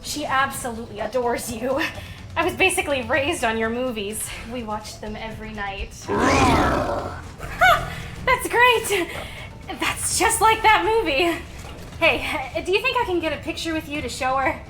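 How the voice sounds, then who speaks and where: Bright, high-pitched, defiant young woman played by an actress. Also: expressive, measured pace, faint music behind. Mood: bubbly, goading. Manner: emphatic, taunting. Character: defiant, quick-witted young woman challenging her tormentor. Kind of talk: audio drama acting.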